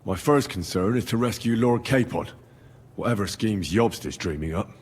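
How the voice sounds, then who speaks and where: Young medieval squire for a game drama: earnest, plain-spoken, slightly low and rough-edged, in a reverberant space. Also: male voice, normal speed. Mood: grave, hesitant. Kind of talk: dramatic video game dialogue.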